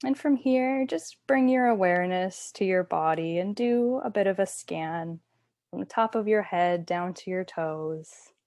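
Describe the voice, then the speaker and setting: A woman teaching yoga nidra, giving guided relaxation instructions in a soothing, unhurried, gentle way. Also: mid-range voice, no room sound, clean studio sound.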